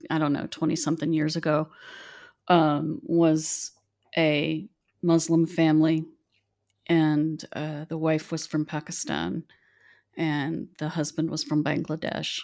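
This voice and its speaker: A middle-aged woman from West Virginia speaks with a somewhat low voice. She tells stories in a chatty, meandering, self-deprecating way, like a relaxed podcast conversation. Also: gravelly. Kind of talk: casual storytelling chat.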